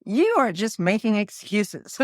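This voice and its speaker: A woman in her fifties, a pickleball coach and author, telling animated stories as a podcast guest and laughing often. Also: medium pitch, a little slow, no echo, clean studio sound. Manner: animated storytelling, laughs often, acts out her own quotes.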